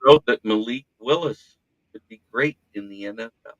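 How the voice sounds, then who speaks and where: A man's voice: fairly low pitch, moderate pace, no room sound, highs cut off. A man hosting a casual sports podcast, talking in a practiced radio voice and poking fun at himself. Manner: self-deprecating, animated storyteller with a practiced radio voice. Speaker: podcast host. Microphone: podcast mic.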